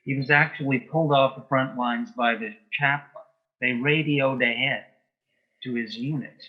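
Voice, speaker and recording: Casting: male interview guest, mid-pitched and rough-edged, measured delivery, slightly dull lo-fi recording. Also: steady tone. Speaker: interview guest, author and playwright. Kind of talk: casual chat interview.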